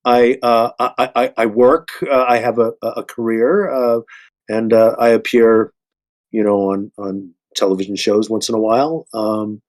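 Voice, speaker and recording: A man speaking casually as a panel guest, halting and repeating words, with a modest manner. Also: on the low side. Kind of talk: casual self-introduction.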